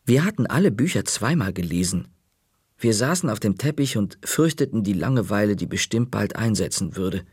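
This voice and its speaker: A man reading a children's book aloud in the first person, with lively storytelling. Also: on the low side, normal speed, breathy edge, no echo. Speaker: audiobook narrator.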